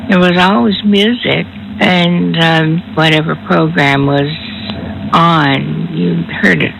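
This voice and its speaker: A woman in her nineties reminiscing as an interviewee, halting with pauses between her phrases. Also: on the low side, fairly even intonation, vocal fry, background noise. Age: 90s. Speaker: interviewee, former radio station worker.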